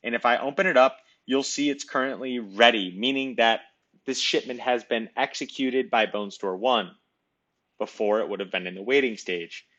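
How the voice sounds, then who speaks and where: A man narrating a screen-recorded software demo, explaining each step in a conversational way. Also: medium pitch, moderate pace, muffled recording. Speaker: software demonstrator. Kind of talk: screen-recorded tutorial walkthrough.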